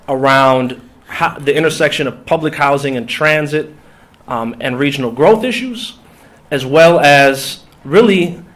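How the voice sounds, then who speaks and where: A man with a Minnesotan accent, a coalition organizer, giving a conference talk; he sounds nasal and congested from a cold and speaks haltingly with many ums and restarts. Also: mid-range voice, fairly bright tone. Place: foundation meeting room.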